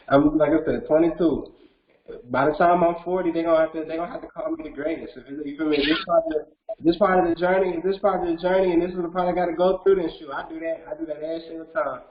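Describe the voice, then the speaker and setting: A man's voice: on the high side, fairly even intonation, fast talker, a little hiss or hum. A man in his twenties, a rapper talking in a casual interview, earnest and full of restarts, who keeps saying "you feel me". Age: 20s. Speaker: rapper guest. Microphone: video call. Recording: echoey dull video call audio.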